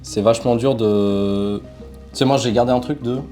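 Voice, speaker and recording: Young Portuguese-born man, mellow voice. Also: medium pitch, normal speed, soft background music. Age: young adult. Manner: relaxed, animated storytelling with frequent fillers. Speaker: interview guest. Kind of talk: casual conversational storytelling.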